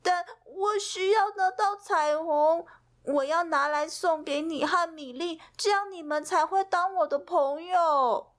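A woman voicing a shy little male animal who speaks high and pleading, in a bilingual storybook reading. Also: unhurried.

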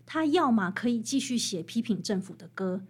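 A woman with a fairly high voice and a Taiwanese Mandarin accent speaks as a guest author. She explains in an expressive storytelling way, leaning on key phrases for emphasis. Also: moderate pace, breathy edge. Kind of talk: expressive explanatory interview talk.

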